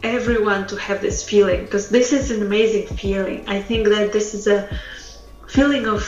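A woman's voice: mid-range voice, fairly even intonation, moderate pace, darkish tone, roomy sound, highs cut off. A woman from Russia, speaking as a podcast guest and communication coach, earnest and thoughtful with frequent fillers and restarts. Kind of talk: podcast interview.

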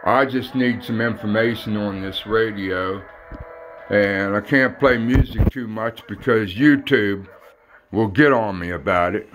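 A man talking casually in a plain, folksy, halting way as he shows something on a handheld camera at home. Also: on the low side, over music. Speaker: hobbyist radio collector. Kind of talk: casual handheld video demonstration. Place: room in a house. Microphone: handheld phone or camera behind the lens.

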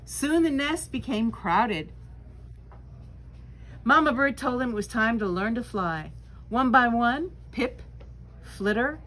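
Older female storyteller, 60s, high voice, unhurried dramatic picture-book delivery, noisy room. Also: fairly bright tone. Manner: slow, dramatic, deliberate phrasing. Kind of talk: expressive read-aloud for children with asides. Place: small bookstore, indoors. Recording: clear background room noise.

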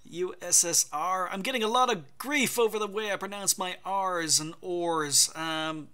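A man's voice: sits high, unhurried, sharp tone, smooth. An Irish man with a slightly sore throat, giving casual, rambling commentary on his game garage, full of ums and self-corrections. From Ireland. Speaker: gaming channel host. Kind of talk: casual garage review commentary over gameplay.

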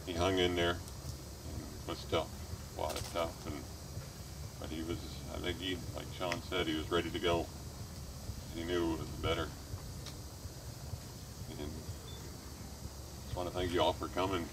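A middle-aged man speaking at his father's memorial outdoors, plainspoken and halting, with many fillers, into a handheld mic. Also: low-pitched. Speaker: son giving a eulogy. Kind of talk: eulogy. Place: outdoors at a waterside memorial gathering. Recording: distant outdoor recording with background noise.

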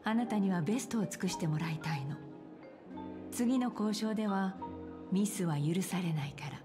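A woman's voice: medium pitch, lively intonation, normal speed, close to an everyday voice. An actress playing an elegant, composed senior businesswoman in a game, with a teasing, coaxing manner. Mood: sly, composed. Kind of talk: Japanese game voice acting. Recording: music behind the voice.

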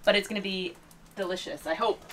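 A woman's voice: medium pitch, fairly even intonation, a little fast. A woman in her forties, a sailing vlogger, chatting playfully to a handheld camera in a small boat cabin. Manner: playful, jokey, upbeat. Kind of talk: casual chat to camera. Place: small sailboat cabin and galley, indoors. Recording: loud background noise.

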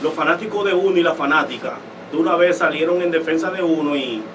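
A man's voice: a little high, fast. A young man, a guest musician, talking boastfully and emphatically in a studio interview. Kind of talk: casual interview talk. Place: indoor studio set. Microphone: studio desk mic. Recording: distant mic with steady noise.

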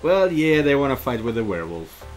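A middle-aged man reads aloud and comments during a game playthrough. His mid-pitched voice moves up and down in a lively way. Faint music plays behind him. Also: fairly quick, poor audio. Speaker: streamer. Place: indoors at a desk, headset on.

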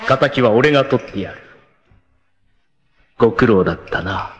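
An actor playing a vain, scheming, effete warlord villain in a cartoon, with a haughty, theatrical, word-savoring delivery. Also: male voice, mid-range voice, expressive, a little slow, fairly bright tone, muffled recording. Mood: gloating. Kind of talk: theatrical anime villain acting.

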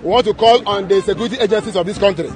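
A man's voice: high-pitched, very fast, a faint crowd. A Nigerian man speaking as a group spokesman outdoors into press microphones, declaiming and repeating his demands. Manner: declamatory, repetitive. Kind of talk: public statement to press. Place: outdoors among a crowd. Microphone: press microphones held up.

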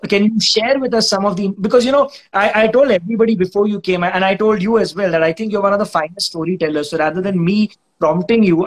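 A man, hosting a video call interview with encouraging, quick affirmations. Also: high voice, low-quality recording. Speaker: show host.

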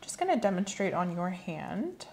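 A young woman plays a clinical examiner in an ASMR roleplay. She gives gentle, measured instructions in a soft, creaky voice, with some room noise behind her. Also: on the low side, sing-song. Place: small room set up as an exam office.

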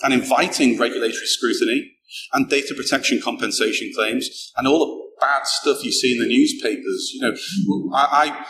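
A man's voice: medium pitch, fairly even intonation, moderate pace, sharp tone. A middle-aged man giving a conversational technical talk at a conference, often restarting and repeating words as he goes. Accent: non-local British accent. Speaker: conference speaker. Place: small darkened event room, indoors. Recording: echoey room, faint background hum.

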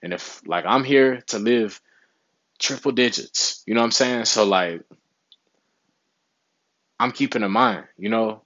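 A man's voice: on the low side, sing-song, a little slow, vocal fry, pauses often, fairly bright tone, no room sound, dull audio. A young man giving casual motivational health advice to camera in a small room, laid-back and often saying "you know what I'm saying". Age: young adult. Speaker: vlogger. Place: small indoor room with window behind.

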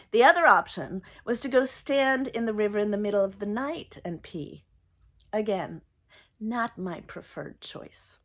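A woman in her fifties reading her own first-person story aloud expressively, with measured delivery and dramatic pauses. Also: medium pitch. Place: small indoor room, plain wall.

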